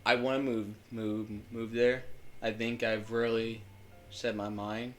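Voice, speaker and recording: A man's voice: medium pitch, level tone, a little slow, faint music behind. A young man co-hosting a casual podcast, rambling and stumbling over words, swearing freely. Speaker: podcast co-host. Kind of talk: casual chat.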